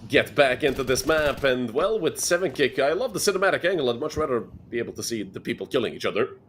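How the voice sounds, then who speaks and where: A man's voice: medium pitch, animated, quick pace, a bit bright, a little hiss or hum. A young man from Serbia casting an esports match alone, chatting with viewers between plays. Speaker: esports caster. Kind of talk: solo play-by-play commentary.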